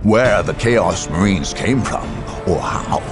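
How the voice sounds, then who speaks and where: Slow, dramatic male game narrator over loud music. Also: low-pitched, animated. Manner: grave, theatrical, portentous. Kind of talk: dramatic scripted narration for a video game cutscene.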